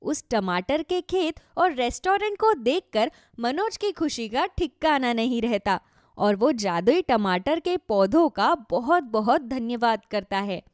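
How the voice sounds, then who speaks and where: A woman's voice: fairly high pitch, animated, unhurried, dry recording, studio quality. A woman reading scripted narration for a children's moral story.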